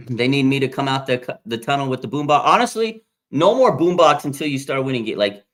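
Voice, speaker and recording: An American man of about forty from Las Vegas, hosting a casual live stream and reading out fan comments in an excitable way. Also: brisk. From Las Vegas, Nevada, USA. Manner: excitable, with sudden pitch jumps. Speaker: livestream host.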